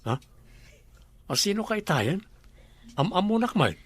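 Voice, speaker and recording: A man's voice: high voice, big pitch swings, unhurried, no room sound. Dry-voiced young Ilocano man. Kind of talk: comedy drama acting.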